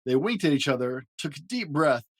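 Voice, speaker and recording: A man reading a children's picture book aloud as a storyteller, with an expressive, dramatic delivery. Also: on the high side, a little fast, no room sound, clean studio sound.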